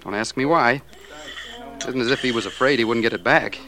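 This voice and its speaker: A man's voice: medium pitch, brisk, natural voice. An actor playing a chummy, slangy soda-counter man in 1940s radio drama style. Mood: casual, frank. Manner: breezy diner slang. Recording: noisy old radio recording.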